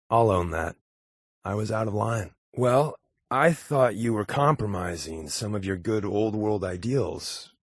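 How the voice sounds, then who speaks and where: A man's voice: lowish voice, dry recording, studio quality. A man playing a wry, wisecracking undercover agent in a video game, with a casual, sardonic delivery. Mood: sincere. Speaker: voice actor playing a game companion. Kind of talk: video game character dialogue.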